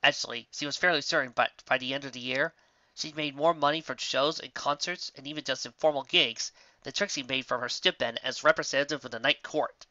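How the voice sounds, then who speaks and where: A man narrates a fan story with expressive storybook delivery. His voice is bright and forceful, recorded very dry and close, with a slightly dull top end. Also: mid-range voice, a little fast, dry recording.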